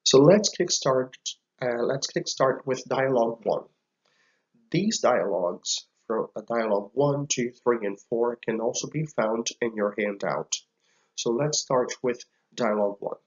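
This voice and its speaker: A male teacher talks through a slide lesson at a very unhurried pace, spelling things out and repeating each phrase. His pitch sits in an ordinary middle range. The recording is a little muffled and lo-fi. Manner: slow, deliberate, repeats each phrase for learners. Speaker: language teacher.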